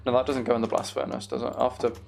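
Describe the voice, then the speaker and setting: Gravelly man chatting over a game. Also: medium pitch, very animated, very fast, a little hiss or hum. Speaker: game streamer hosting a live chat stream. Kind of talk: casual gaming commentary and chat.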